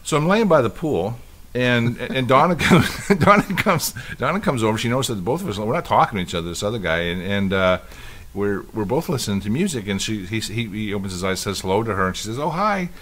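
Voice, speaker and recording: A man's voice: lowish voice, very animated, fairly quick, fairly bright tone. Rambling man in his fifties, casual co-host. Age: 50s. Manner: rambling storyteller with many restarts. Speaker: podcast co-host. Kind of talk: casual chat.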